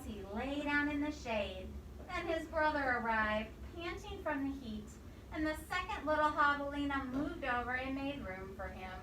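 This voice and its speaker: A woman with a high voice reads a picture book to young children in an expressive teacherly way, stopping to quiz them. She is some distance from the microphone, and there is clear noise behind her. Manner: expressive, pausing to quiz the listeners. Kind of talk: storybook read-aloud with questions to children. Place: indoor room with children present. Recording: echoey, distant microphone, noisy room.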